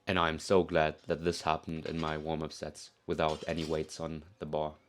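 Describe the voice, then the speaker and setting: A man's voice: extremely low pitch, completely flat delivery, moderate pace, faint music behind. A young Korean man, a native Korean speaker, narrating his lifting vlog in a put-on British-leaning accent, candid and self-mocking with casual swearing. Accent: put-on British-leaning English accent. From South Korea. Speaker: powerlifting vlogger.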